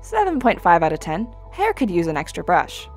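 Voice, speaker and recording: Animated fan series: actress as young female bodyguard, playful teasing huntress with a wistful side, music behind. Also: on the low side, brisk. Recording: background music under the voice.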